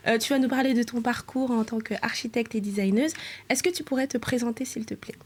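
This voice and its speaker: A woman's voice: fairly high pitch, a little fast, husky. A woman hosting an interview podcast, asking short questions and often answering with "ok.". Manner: short questions, frequent "ok" backchannels. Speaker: podcast host.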